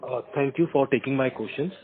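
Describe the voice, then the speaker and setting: A man with an Indian accent politely asking questions as an analyst on an earnings call, halting and repeating words. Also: medium pitch. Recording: tinny phone line with faint noise.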